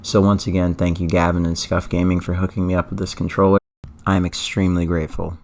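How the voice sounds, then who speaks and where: Low-voiced hoarse man vlogging. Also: level tone, quick pace, a little mellow. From Atlanta, US. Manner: enthusiastic, repeats words for emphasis. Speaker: esports news vlogger. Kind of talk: casual vlog talk to camera.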